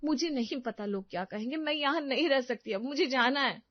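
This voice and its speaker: A woman's voice: high-pitched, a little fast, muffled recording, pitched above the performer's own voice. A woman voicing an overworked, aggrieved young daughter-in-law, plaintive and protesting, in a family drama story.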